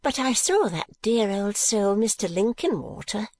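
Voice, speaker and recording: A woman's voice: medium pitch, big pitch swings, unhurried, a little mellow, voice pushed up. A woman voicing a sly, teasing little spinster friend of the family in an audiobook, arch and chatty. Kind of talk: audiobook character acting.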